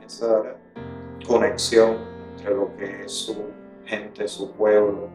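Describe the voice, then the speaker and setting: A Puerto Rican man giving a thoughtful testimony, pausing often with fillers. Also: fairly low pitch, level tone, a little mellow. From Puerto Rico. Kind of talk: reflective testimony. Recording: roomy distant mic with guitar music.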